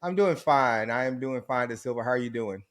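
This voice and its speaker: A man's voice: mid-range voice, a little fast. A man from Houston, Texas, a guest on a podcast, talking about his life in an upbeat, reflective way. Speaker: interview guest. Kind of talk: casual interview chat.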